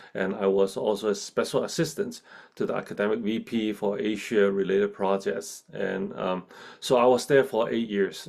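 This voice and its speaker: A middle-aged man from Hong Kong with a Chinese accent, a guest in a casual interview who talks in a halting way with many restarts and fillers. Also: fairly low pitch, gravelly, a little mellow. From China, grew up in Hong Kong. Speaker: guest, professor. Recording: video call.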